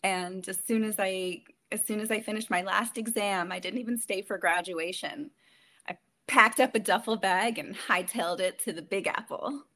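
A woman's voice: mid-range voice, normal speed, bright, forceful tone. Chatty Canadian woman telling stories. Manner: chatty, storytelling, laughs often. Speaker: guest actress. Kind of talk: casual interview chat.

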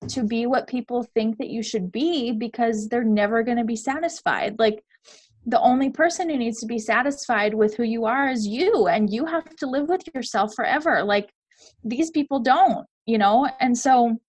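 A woman's voice: on the high side, breathy edge. A young adult woman, a podcast guest, talking earnestly and filling her speech with "like". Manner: earnest, uses "like" a lot. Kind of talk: casual podcast interview.

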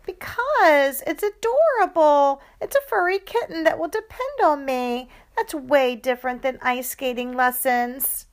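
A woman's voice: a little high, animated, slow pace, fairly bright tone, smooth, pitched above the performer's own voice, exaggerated voice. A woman voicing a teenage sister with a fake sweet, whiny way of asking.

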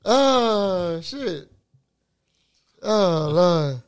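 High, animated man teasing his co-host. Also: drawn-out words, clear, resonant tone. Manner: teasing, incredulous reactions. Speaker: podcast co-host. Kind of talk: casual podcast banter.

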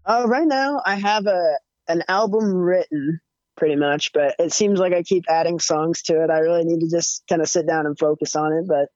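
A young man with a higher-pitched voice, chatting casually as a musician guest with lots of fillers and restarts. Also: moderate pace, no echo. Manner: relaxed, rambling, many fillers and restarts. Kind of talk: casual interview chat. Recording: dull, muffled audio.

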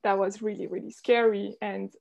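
A woman's voice: medium pitch, level tone, normal speed, creaky, a little mellow, muffled recording. A woman from Switzerland speaking as an interview guest, telling her own story in a candid, reflective way. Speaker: podcast guest, entrepreneur. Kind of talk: conversational interview.

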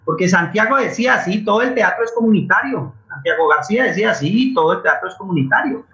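A man's voice: sits high, animated, quick pace, sharp tone. A Colombian man answering in a conversational interview, earnest and explanatory, often repeating words as he thinks. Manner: earnest, explanatory, hesitant repetitions. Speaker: interview guest, playwright and community theater maker. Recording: dull, echoey remote-sounding audio.

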